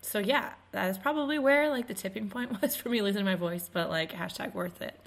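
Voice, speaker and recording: A woman in her twenties co-hosting a podcast in casual chat, her voice still a little raspy after losing it, telling stories with laughs. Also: medium pitch, fairly quick. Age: 20s. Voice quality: slightly raspy. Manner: chatty, laughing storyteller. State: recovering from lost voice. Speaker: podcast co-host.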